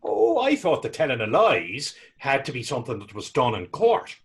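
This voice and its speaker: Actor as a naive young Irishman, swooping husky voice. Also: mid-range voice, very animated, normal speed. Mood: innocent, evasive. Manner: rambling, guileless old-fashioned dialect speech. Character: naive, earnest, smitten young Irish fellow. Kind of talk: readers theater stage acting.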